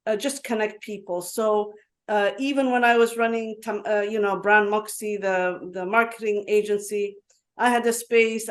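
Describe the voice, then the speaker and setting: Earnest woman, halting, on a video call. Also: on the high side. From Yemen. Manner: earnest, halting with many fillers and restarts. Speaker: conference speaker. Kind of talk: online conference talk. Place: home in Dubai.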